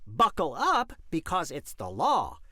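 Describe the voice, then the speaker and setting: An actress playing an earnest young kid in a children's audio story. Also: female voice, deep voice, huge pitch swings, a little slow, sharp tone, no echo, studio quality, heavily acted.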